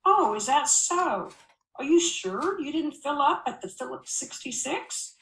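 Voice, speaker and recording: Female reader as small-town lawman in an audiobook: polite, probing, deliberate, quietly in charge, roomy acoustic. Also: high voice, very animated, pitched above the performer's own voice, full character voice. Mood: suspicious. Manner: measured, probing, authoritative. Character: slow, courteous, suspicious male small-town policeman. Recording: roomy, echoing voice recording.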